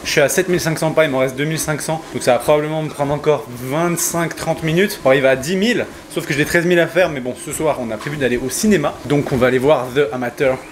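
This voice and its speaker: A man's voice: fairly high pitch, fairly even intonation, fast, hardly any pauses. A young man, a nutrition coach, chatting casually in a vlog as he explains his food and daily steps. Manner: chatty, explaining step counts and food as he goes. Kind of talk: casual vlog chat to camera. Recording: faint background noise.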